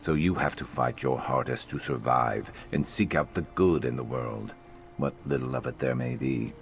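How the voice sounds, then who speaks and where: An actor playing a gruff, world-weary adventurer companion in a fantasy game, with a blunt, dry, sardonic manner. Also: male voice, very deep voice, moderate pace, slight background noise. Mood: blunt, guarded. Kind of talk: scripted fantasy game voice acting.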